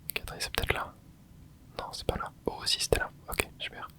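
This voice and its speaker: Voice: brisk, bright, forceful tone. A host whispering casual gameplay commentary, rambling and thinking aloud with many hesitations. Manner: casual, hesitant, frequent filler words. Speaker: gaming channel host.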